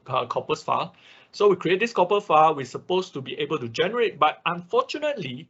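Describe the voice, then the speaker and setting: A man who speaks English as a second language, giving a live technical tutorial step by step in a halting manner, often checking with short tag words. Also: fairly high pitch, a bit bright, husky. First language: not English. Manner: halting, often repeats and checks with okay or right. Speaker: workshop instructor. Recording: dull, lo-fi online class audio.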